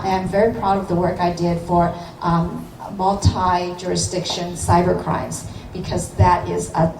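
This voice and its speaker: A middle-aged woman delivers an earnest campaign speech, stumbling through frequent fillers and restarts. Her voice comes from some distance off the mic, rings around a reverberant room, and sits over a clear bed of background noise. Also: medium pitch. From born in Hong Kong, grew up in Los Angeles. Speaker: city council member. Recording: echoey room, voice from afar, noisy.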